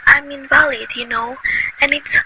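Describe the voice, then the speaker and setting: A woman playing a shrill, frantic invalid wife. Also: fairly even intonation, normal speed, natural voice. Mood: anxious. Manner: shrill, agitated, imperious. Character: nervous bedridden wealthy woman, demanding. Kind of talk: amateur radio play acting. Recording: loud noisy recording.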